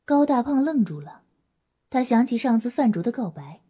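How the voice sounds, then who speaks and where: Woman, audio drama narrator, scripted storytelling, husky, mellow, slightly high pitch. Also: sing-song, moderate pace.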